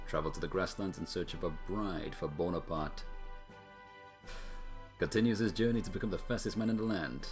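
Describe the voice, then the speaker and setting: A man's voice: low-pitched, darkish tone. A man streaming a game, reading story text aloud with brief casual remarks of his own. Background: music.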